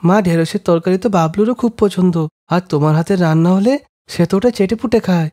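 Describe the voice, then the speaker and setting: Mellow-voiced sweet cartoon child, played by a woman. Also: on the low side, brisk, natural voice. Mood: coaxing.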